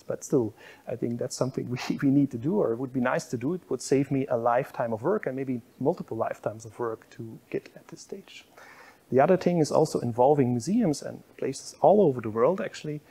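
A man's voice: mid-range voice, mellow, slightly rough. A middle-aged man giving a university public lecture in a lecture room, explaining slides with frequent fillers. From Belgium.

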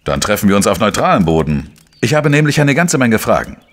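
A man playing a dogged, wry investigator in a crime radio play, speaking and narrating in a deliberate, reflective way. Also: on the low side, big pitch swings. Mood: insistent, wry. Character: dogged, wry male journalist-sleuth narrating his own case. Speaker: lead actor and first-person narrator. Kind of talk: German crime audio drama, narration and dialogue.

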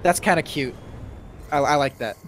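A man's voice: a little high, moderate pace. A man chatting casually over gameplay as a streamer, thinking aloud and giving his opinions. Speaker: game streamer. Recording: steady background game noise. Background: video game sounds.

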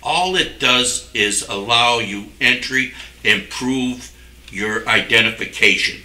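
An older man argues his case at a board meeting in a very sharp, forceful voice. He is defensive and insistent, and his words come from some distance in an echoey room. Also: medium pitch, takes it slowly. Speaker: town select board member. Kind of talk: argumentative speech at a public meeting. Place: wood-panelled municipal meeting room.

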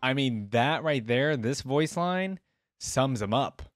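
A man's voice: mid-range voice, animated, takes it slowly. A man in his twenties giving casual, musing reaction commentary into a close desk microphone. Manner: musing, short interjections, echoes what he hears. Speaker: reaction video creator.

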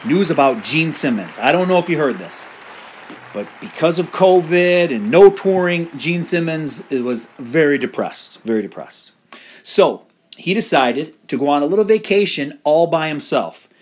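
A man's voice: on the high side, lively intonation, normal speed, husky. A man hosting a rock podcast, upbeat and joking, often laughing as he talks, over canned crowd noise and music. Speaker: podcast host. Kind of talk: casual interview with jokes.

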